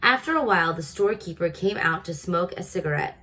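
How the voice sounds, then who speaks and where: A woman's voice: fairly low pitch, lively intonation, fairly quick, sharp tone. Woman reading a children's book aloud. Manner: informal, chatty asides to listeners. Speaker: read-aloud storyteller.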